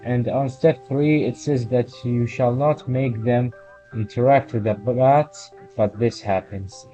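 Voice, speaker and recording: A man narrating a horror video commentary in a halting way, pausing mid-sentence as he explains and reads out quotes. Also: medium pitch, fairly even intonation, mellow. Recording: dull lo-fi voice recording. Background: background music.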